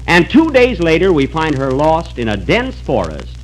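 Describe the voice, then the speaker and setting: An actor playing a folksy, meddling storyteller who addresses the audience, in 1940s radio comedy style. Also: male voice, on the high side, wildly swooping pitch, normal speed. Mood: storytelling. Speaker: narrator. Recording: distant-sounding old radio recording.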